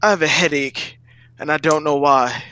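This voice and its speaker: A man's voice: on the high side, moderate pace, a crowd in the background. A slightly tipsy man on a group voice chat, ranting casually in a blunt, emphatic, sweary way. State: tipsy, partly sobered up.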